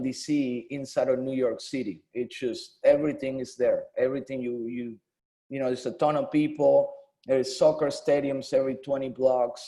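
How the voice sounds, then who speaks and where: A man in his thirties from Argentina, a former player and coach, telling his story in a relaxed, conversational interview with frequent "um"s. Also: medium pitch. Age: 30s. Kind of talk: casual interview.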